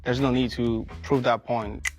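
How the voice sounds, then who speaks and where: A man from Guatemala with a Guatemalan Spanish accent, speaking in a relaxed, friendly way as a panel contestant. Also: mid-range voice, measured pace, over music.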